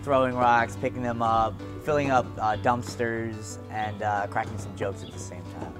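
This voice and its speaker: A man's voice: on the low side, steady tone, normal speed, music in the background. A young man from Brooklyn, a college junior volunteering, talking easily. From Brooklyn, New York. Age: young adult. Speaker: student volunteer.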